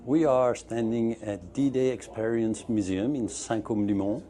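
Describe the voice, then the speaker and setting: A man's voice: mid-range voice, a little slow, warm tone. A middle-aged man from Belgium, a museum founder eagerly telling his story in slightly broken English during an interview. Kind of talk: on-location interview. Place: museum, indoors.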